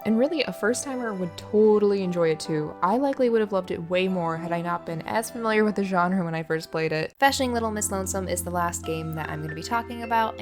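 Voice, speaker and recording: A woman giving casual review commentary as a video host, chatty, opinionated and self-deprecating. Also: mid-range voice. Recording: voice-over with clear background music. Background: music.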